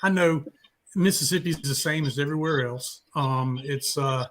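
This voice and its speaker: Male host for a live music interview: higher-than-average voice, casual and rambling delivery. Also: normal speed, fairly bright tone. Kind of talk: casual unscripted interview chat. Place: remote live stream call.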